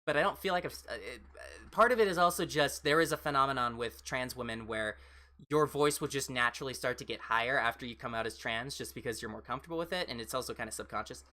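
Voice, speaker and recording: Fast-talking American woman, bright, fairly deep voice. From United States. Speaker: livestream host. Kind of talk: casual livestream chat, replying to viewers.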